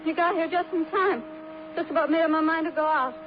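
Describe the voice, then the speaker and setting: A woman's voice: extremely high pitch, a little fast. An actress playing a frail, defiant teenage working girl with an American accent, rambling dreamily and flippantly in 1950s radio crime drama style. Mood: flippant, evasive. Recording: muffled old radio recording, faint noise.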